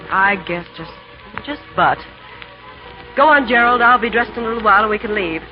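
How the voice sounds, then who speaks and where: An actress playing a tender young woman in love, halting and earnest, in 1930s radio romance. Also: medium pitch, animated, close to an everyday voice. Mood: wistful. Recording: muffled 1930s radio recording with music.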